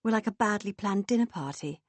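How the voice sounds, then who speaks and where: A woman's voice: mid-range voice, lively intonation, brisk, no echo, voice pushed up, natural voice. A woman voicing an anxious, guilty woman in an audiobook, tense and insistent. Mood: musing. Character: anxious, guilty woman having a secret affair.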